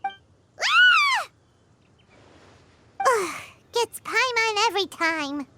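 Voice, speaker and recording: A woman voicing a tiny, excitable, bratty fairy girl companion who talks about herself in the third person, in a video game. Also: extremely slow, full character voice. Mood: startled. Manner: squeaky, childlike, refers to herself in third person. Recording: music behind.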